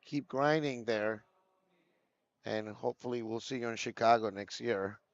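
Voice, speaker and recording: A man's voice: mid-range voice, unhurried, dry recording, muffled recording. A man commentating a live golf video game tournament, chatting loosely and reading names from the chat.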